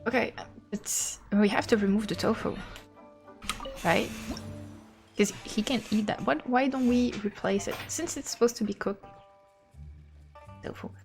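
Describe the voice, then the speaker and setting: A woman's voice: medium pitch, unhurried, pauses often, a little mellow, faint music behind. A woman game streamer chatting casually on a live stream and reacting aloud to game dialogue.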